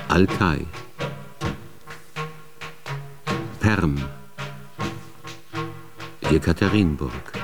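Deep, slow, grave poetic reciter. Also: male voice. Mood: stark, incantatory. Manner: declamatory, deliberate. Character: grave poetic male reciter of verse and distant places. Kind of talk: solemn radio drama recitation.

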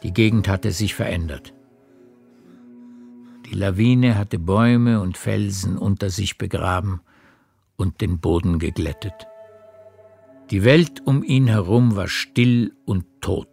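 A man narrates a literary radio drama with measured storytelling. His voice is low with a gravelly creak, and soft music sits faintly behind it.

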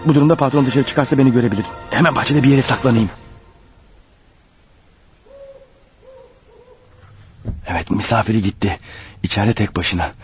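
A man's voice: mid-range voice, rapid-fire, lightly acted. An actor in a radio play voicing a desperate, nervous man turned amateur burglar, hesitantly talking to himself. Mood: resentful, scheming. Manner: hesitant, muttering to himself, rehearsing and exclaiming. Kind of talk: radio play monologue, thinking aloud. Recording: dull lo-fi radio drama over music.

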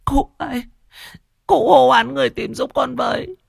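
A man voicing a stout, frantic village woman who wails and cries in a shrill, shouting voice. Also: sing-song, fairly quick, dry recording, clean studio sound, heavily acted. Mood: wailing. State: crying.

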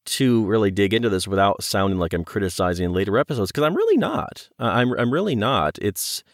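A man with a low voice and a close, dry sound talks as a guest on a podcast. He is reflective and careful, pausing to find the right words as he casually thinks things through. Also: sing-song, dry recording. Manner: hesitant, thoughtful, careful with words.

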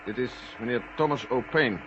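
A man in a radio play acting a husky-voiced, sceptical, sardonic army general. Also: mid-range voice, moderate pace, noisy background, natural voice. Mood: brisk, familiar. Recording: dull old radio play recording.

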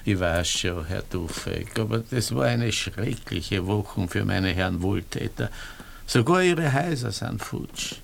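A broken-down Viennese scrounger in a radio drama, his voice gravelly. He rambles in wry, plaintive laments and repeats himself, over faint background noise. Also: fairly low pitch, animated, measured pace, exaggerated voice. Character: bereaved, ruined former businessman turned scrounger, bitterly wry. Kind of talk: German-language radio play acting.

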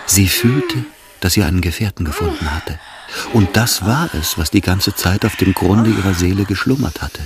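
A man's voice: sits low, expressive, normal speed. A man narrating a literary radio drama as scripted storytelling.

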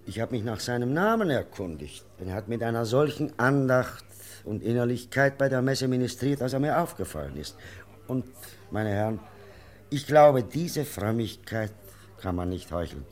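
An actor playing a fair-minded official in a radio play, reasoning formally and deliberately. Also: male voice, mid-range voice, expressive, natural voice. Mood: earnest. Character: fair-minded, measured official defending a devout monk. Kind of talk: German radio play acting.

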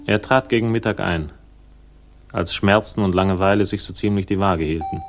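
An actor playing a wry, world-weary detective who narrates a crime radio drama in a dry, literary, ironic manner. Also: male voice, sits low. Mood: wry, detached. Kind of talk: scripted first-person crime radio narration.

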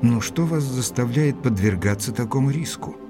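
An actor playing a reserved, sceptical gentleman investigator who tells his story in a measured way in an audio drama. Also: mid-range voice, animated, music in the background, lightly acted. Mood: curious. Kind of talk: dramatised first-person narration and dialogue.

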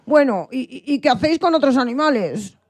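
Woman as an earnest, high-voiced young crocodile. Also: lively intonation, a little fast, darkish tone, dry recording, studio quality. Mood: earnest pleading. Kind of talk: children's radio play.

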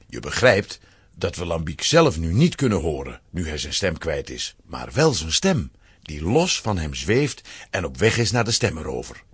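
Animated, husky male storyteller. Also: low voice, normal speed, dry recording. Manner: storytelling, dramatic emphasis. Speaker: narrator. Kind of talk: scripted children's story narration.

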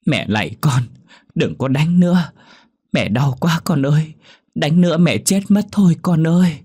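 A man's voice: medium pitch, lively intonation, unhurried, pitched above the performer's own voice. A man voicing a frail woman near ninety who begs her daughter with a quavering, sobbing voice. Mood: pleading.

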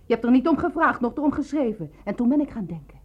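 A woman playing an anxious, determined truth-seeker in a radio drama, by turns pressing and hushed. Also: on the high side, sing-song, quick pace, slightly rough, no room sound, highs cut off. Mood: accusing, insistent. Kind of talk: radio drama acting with intimate interior monologue.

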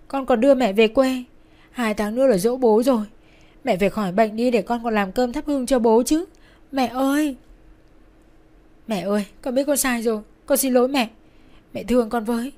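A woman's voice: moderate pace, pauses often, darkish tone, lightly acted. Guilt-stricken young daughter acted by a woman, desperate, tearful pleading for tragic audio story. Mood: grief-stricken begging. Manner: pleading, tearful, raised pitch.

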